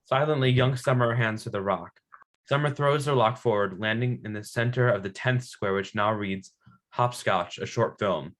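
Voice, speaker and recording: A man's voice: lowish voice, moderate pace, fairly bright tone. A man reading screenplay stage directions as narrator at a table read.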